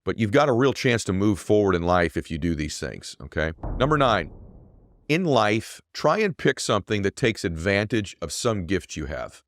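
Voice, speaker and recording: A middle-aged man with a deep voice, hosting a show and giving an emphatic motivational monologue that often asks "right?". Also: sing-song, moderate pace, dry recording. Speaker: podcast host.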